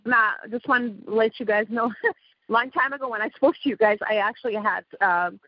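A woman's voice: on the high side, moderate pace, no room sound. A woman from Iran with an Iranian accent, a guest telling her story in a rambling way, with a slight lisp from missing front teeth. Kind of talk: casual interview talk. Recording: dull lo-fi call audio.

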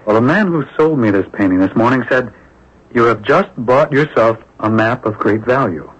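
An actor playing an eager, secretive neighbour obsessed with a mystery in a radio drama, speaking earnestly, with hesitant, insinuating turns. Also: male voice, mid-range voice, animated, moderate pace, husky. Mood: intrigued, secretive. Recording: dull lo-fi old radio broadcast recording.

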